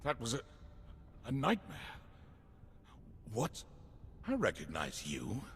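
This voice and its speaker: An actor playing a dazed, frightened man in a video game, halting and alarmed. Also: mid-range voice, huge pitch swings, heavily acted. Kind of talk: dramatic video game dialogue. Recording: long reverb, ambient noise.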